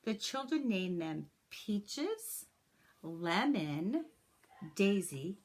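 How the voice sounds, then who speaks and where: A woman reading a children's picture book aloud like a teacher, with expressive, deliberate phrasing and personal asides to young listeners. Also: mid-range voice.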